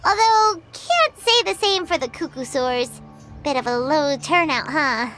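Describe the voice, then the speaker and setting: A woman's voice: sits high, animated, unhurried, over loud music. A woman voicing a small, curious fairy companion in a game cutscene. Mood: wry. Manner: inquisitive, chatty.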